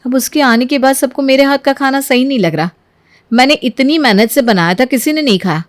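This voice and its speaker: A woman's voice: high voice, a little fast, close to an everyday voice. A woman storyteller voicing a jealous, argumentative young housewife who complains and mocks her in-law. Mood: bitter, grumbling. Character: jealous, sarcastic elder daughter-in-law, young adult housewife.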